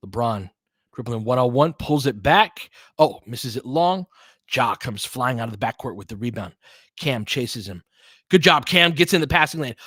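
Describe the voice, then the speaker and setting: A man's voice: medium pitch, a bit bright, no echo, studio quality. A man doing casual live basketball play-by-play and analysis, conversational and openly rooting for his team with exclamations on big plays.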